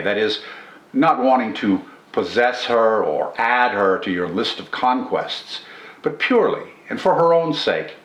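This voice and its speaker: An older man reading a scripted literary commentary and reciting verse as host, in a measured, formal and expressive manner with deliberate phrasing. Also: mid-range voice. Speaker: poetry channel host and reader. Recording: roomy indoor echo.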